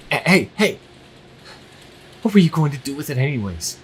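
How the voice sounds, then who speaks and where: An actor playing an earnest, anxious young priest in an audio drama, halting and emotive. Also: male voice, fairly high pitch, sing-song, fairly bright tone, a little hiss or hum. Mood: frightened, pleading. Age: young adult.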